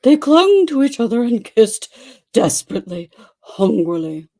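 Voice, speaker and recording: A woman reads a story in a theatrical, exaggerated style. Her voice is fairly high and soft-edged rather than bright. She takes it very slowly, leaving dramatic pauses between phrases. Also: very animated. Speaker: dramatic reader.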